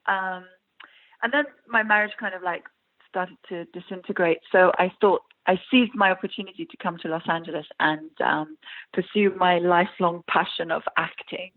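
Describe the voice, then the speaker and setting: A woman's voice: lowish voice, fairly even intonation, normal speed. A woman from Iran with an English accent, an actress chatting casually in an interview, with many fillers and frequent laughs. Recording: tinny phone-like line.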